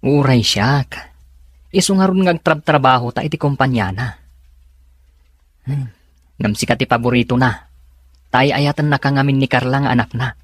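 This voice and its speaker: Man acting young best friend, mid-pitched, earnest, advising, dry close sound, radio drama. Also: normal speed, long pauses, dry recording, close to an everyday voice. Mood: matter-of-fact.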